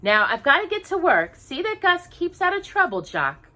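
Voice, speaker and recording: A woman voicing a kind young storybook heroine who can turn brisk, in an expressive, sing-song manner. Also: very high voice, voice pushed up, exaggerated voice. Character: kind young woman, gentle fairy-tale heroine, briskly bossy with animals.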